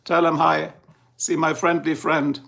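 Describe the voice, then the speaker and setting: Gravelly German-accented man, chatty streamer. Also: fairly high pitch, level tone, a little slow, fairly bright tone. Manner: chatty, answers viewers one by one, chuckles often. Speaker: livestream host. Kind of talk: casual livestream chat reading comments.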